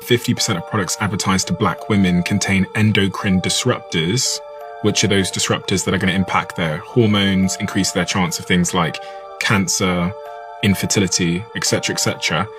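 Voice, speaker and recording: A man interviewing and narrating facts, measured and questioning. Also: deep voice, steady tone, over music.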